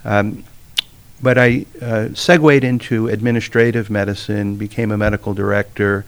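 A middle-aged man with a Northeastern US accent and a fairly low voice speaks as an interview guest. He explains his thoughts in a measured, halting way, reflecting as he goes. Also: steady tone, clean tone. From Brooklyn, New York, USA. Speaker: interview guest, author and former physician.

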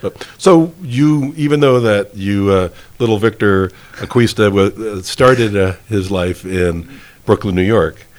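A man with a deep voice hosts a casual interview. He wanders through his questions in a folksy, rambling and self-deprecating manner. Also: a little slow, few pauses, a little mellow. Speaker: interview host.

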